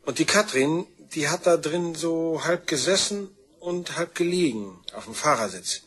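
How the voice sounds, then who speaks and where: Very husky, offhand male witness. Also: on the high side, expressive, a little slow, a bit bright, heavily acted. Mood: casual, halting. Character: offhand, needling younger-brother type, male crime witness. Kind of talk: German radio crime drama acting.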